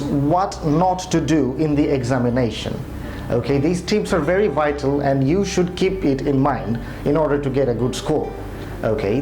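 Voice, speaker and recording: A man's voice: mid-range voice, slight background noise. Echoey, hesitant male tutor. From Bangladesh. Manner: hesitant, many "uh" fillers and restarts. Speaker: IELTS tutor. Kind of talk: spoken exam tips lesson. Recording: roomy echo, soft music behind.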